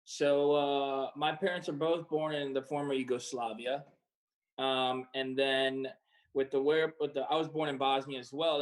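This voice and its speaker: Male, 20s, pro athlete guest, relaxed and rambling, average pitch. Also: poor audio. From Croatia and the United States. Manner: relaxed, rambling with many fillers. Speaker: professional soccer player. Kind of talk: casual interview answers.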